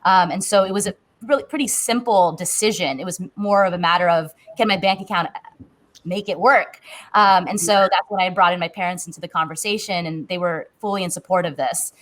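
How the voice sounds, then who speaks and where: A woman's voice: fairly low pitch, brisk, a bit bright. Woman in her thirties talking candidly. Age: 30s. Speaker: guest panelist. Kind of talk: candid conversational storytelling.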